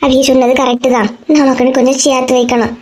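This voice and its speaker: A blunt, gossipy neighbour woman from a comedy cartoon as a woman acts her. She speaks in Kanyakumari Tamil with a scolding edge, quick to get irritated. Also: sits high, flat delivery, darkish tone. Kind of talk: comic cartoon skit.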